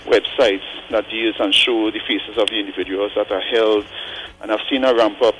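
A man in his forties calling in to a radio show, thinking aloud earnestly with frequent pauses and tag questions like "right?". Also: lowish voice, steady tone, over music, low-quality recording. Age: 40s. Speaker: caller. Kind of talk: call-in radio commentary.

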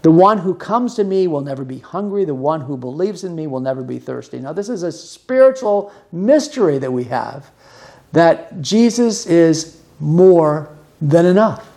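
A man with a somewhat high voice delivers a sermon in a conversational way. He explains each point earnestly, often repeating a phrase to drive it home. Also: expressive, moderate pace, warm tone. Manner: earnest, explanatory, uses repetition for emphasis. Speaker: preacher and podcast host.

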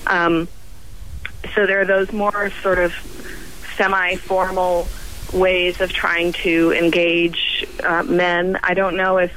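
A woman scientist guest on a radio roundtable, croaky from a cold, speaking haltingly with many pauses and restarts. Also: on the low side, level tone, a bit bright, a little hiss or hum, low-quality recording.

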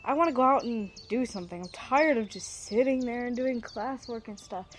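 Faint background noise, actress as young female student, highish voice, sarcastic, blunt, distrustful, sweary, amateur radio drama. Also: a little fast, close to an everyday voice. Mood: casual, friendly. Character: blind young woman student, sarcastic, foul-mouthed, distrustful.

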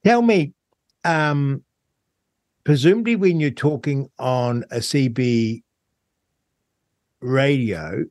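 A middle-aged or older man with a New Zealand accent, hosting a conversational radio interview in a halting, reflective way. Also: mid-range voice, a little mellow, no echo. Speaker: talk show host.

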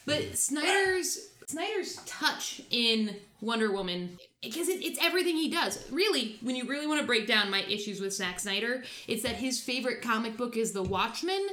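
A woman's voice: on the high side, lively intonation, normal speed, bright, forceful tone. A woman co-hosting a casual chat about films and comics, blunt and opinionated, swearing freely. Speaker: podcast co-host. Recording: roomy echo.

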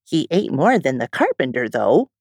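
A woman voicing an excitable, contrary, boastful round little man in a storybook, emphatic and triumphant. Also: a bass voice, moderate pace, slightly rough, no room sound, clean studio sound, voice pushed down, full character voice. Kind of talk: storybook character reading.

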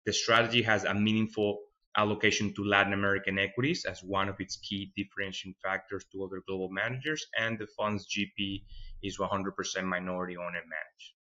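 A man's voice: sits low, fairly even intonation, fast talker, sharp tone, highs cut off. A man in his thirties from Venezuela, a fund manager answering interview questions thoughtfully and often repeating a word as he finds the next one. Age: 30s. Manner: thoughtful, repeats words while finding them. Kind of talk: podcast interview.

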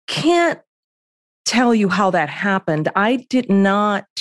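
Slow, blunt New woman. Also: on the low side, no room sound, studio quality. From the Bronx, New York. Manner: blunt, candid, plainspoken. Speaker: guest, history professor. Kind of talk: casual interview talk. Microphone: remote call.

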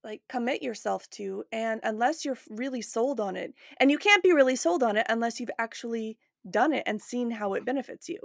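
A woman's voice: a little high, fairly quick, gravelly, hardly any pauses, no room sound, clean studio sound. A woman hosting a podcast in a casual, reflective conversational style. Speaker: podcast host.

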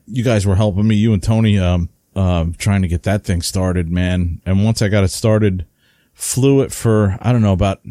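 Low-voiced man telling a rambling story. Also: no room sound, clean studio sound. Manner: rambling, animated storytelling with many fillers. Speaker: podcast co-host. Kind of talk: casual chat.

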